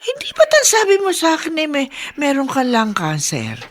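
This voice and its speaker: A concerned, easily exasperated older Filipino woman in a radio drama. Her voice sits fairly high and swings expressively as she questions in halting, disbelieving phrases. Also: wildly swooping pitch. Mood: incredulous. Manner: scolding, incredulous, halting.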